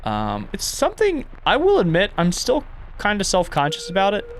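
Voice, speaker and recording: A man doing casual video game playthrough commentary, reading prompts aloud and reacting as he thinks out loud. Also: sits high, wildly swooping pitch, normal speed, slight background noise, low-quality recording. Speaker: gaming content creator and streamer.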